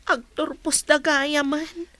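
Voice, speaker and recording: A young schoolchild in a radio drama, anxious and remorseful. The voice is high and youthful, with a wistful temper. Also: female voice, level tone, takes it slowly, bright, forceful tone, full character voice. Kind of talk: Filipino radio drama acting.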